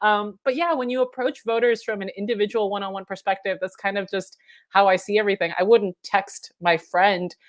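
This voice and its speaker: A woman's voice: mid-range voice, steady tone, fairly quick. A woman in her thirties from Honduras, raised in Florida, hosting a casual livestream chat in an informal, chatty way with frequent laughter. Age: 30s. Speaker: livestream host and political organizer.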